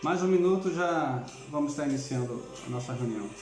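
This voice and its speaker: A man's voice: fairly high pitch, animated, fairly quick, echoey room, faint music behind. A man from Curitiba with a southern Brazilian accent, speaking as a pastor in an informal, conversational livestream talk, often adding tag questions. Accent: southern Brazilian Portuguese.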